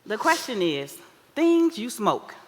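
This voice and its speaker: An actress playing an older American mother on stage, folksy, wise, teasing and blunt, with drawn-out emphatic phrasing. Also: on the high side, sing-song, darkish tone, full character voice. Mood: teasing, matter-of-fact. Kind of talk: stage play acting. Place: live stage in a reverberant hall. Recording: echoey theater hall.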